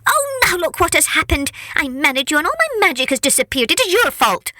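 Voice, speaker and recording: A woman voicing a wicked, scheming female storybook villain with a shrill, indignant, emphatic manner. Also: very animated, brisk, bright, forceful tone, full character voice. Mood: raging. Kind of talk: storybook character voice.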